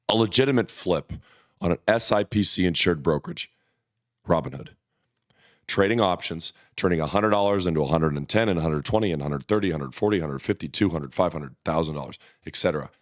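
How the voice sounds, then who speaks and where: Brief: slightly hoarse male YouTuber, low voice, blunt casual explainer, dry close mic. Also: no room sound. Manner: blunt, conversational, frequent restarts. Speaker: options trading YouTuber. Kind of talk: casual direct-to-camera explainer.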